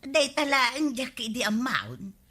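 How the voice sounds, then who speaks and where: An actress with a Filipino accent playing a cheeky, stubborn bargain-hunting housewife in a radio comedy drama. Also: female voice, high-pitched, sing-song, slow, pushed and bright, slightly rough, full character voice. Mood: stubborn haggling.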